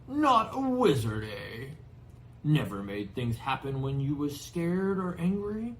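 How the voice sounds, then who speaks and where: Guy doing a big gruff storybook giant, growly, kind hearted, folksy rough grammar, super loyal. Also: fairly high pitch, sing-song, slow, slight background noise, exaggerated voice. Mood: amused, proud. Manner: rustic, colloquial, dropped words and dialect grammar. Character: gruff, warm-hearted bearded giant man, fiercely loyal.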